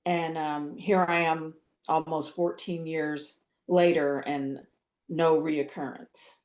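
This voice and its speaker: A middle-aged woman on a video call from home, speaking haltingly and searching for words. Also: low voice, flat delivery, darkish tone. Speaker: patient sharing her story. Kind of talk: emotional interview answers. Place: home room. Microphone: video call webcam. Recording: roomy webcam sound.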